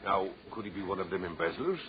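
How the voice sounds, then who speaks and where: Quick-talking, nosy ship's mate. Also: male voice, sits low, animated. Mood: curious, suspicious. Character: curious, suspicious ship's mate. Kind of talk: old-time radio drama acting. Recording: dull, noisy old radio recording.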